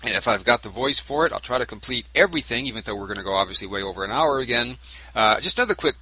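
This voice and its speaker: A man's voice: medium pitch, lively intonation, racing, pushed and bright, slight background noise, poor audio. A man hosting a talk radio show, chatting casually with many fillers and restarts, with a sore throat. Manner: conversational, with frequent fillers and restarts.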